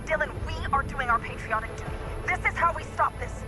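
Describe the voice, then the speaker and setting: A woman's voice: sits high, a little fast, over music, natural voice. A woman playing a ruthless commander barking orders. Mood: commanding. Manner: commanding, urgent. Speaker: commanding officer.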